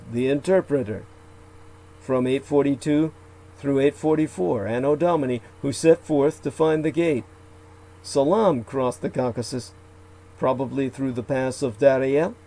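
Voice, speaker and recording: A man reciting old legends aloud, with slow, deliberate delivery, archaic phrasing and occasional asides where he corrects himself. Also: medium pitch, mellow, poor audio. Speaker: radio show guest.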